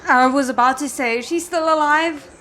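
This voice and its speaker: A woman giving casual reaction commentary as a host, thinking aloud with expressive exclamations. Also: high-pitched, moderate pace. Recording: noticeable background noise.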